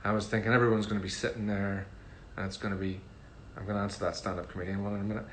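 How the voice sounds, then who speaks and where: A middle-aged man with a Northern Irish accent, casually answering fan questions in a relaxed, chatty way with frequent ums. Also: fairly low pitch, racing, slight background noise. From Northern Ireland. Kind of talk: casual livestream Q&A.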